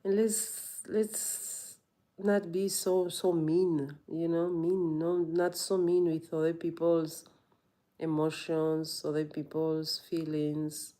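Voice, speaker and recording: A woman's voice: sits low, flat delivery, takes it slowly, warm tone. A woman yoga teacher in a reflective personal monologue, earnest and rambling, often saying "you know".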